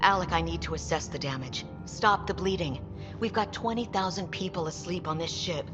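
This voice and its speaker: A woman's voice: lowish voice, moderate pace, natural voice. Casting: female actor as assertive game starship captain, protective, firm, reverberant muffled sound. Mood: firm, exasperated. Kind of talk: sci-fi video game acting. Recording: muffled, reverberant, loud music behind.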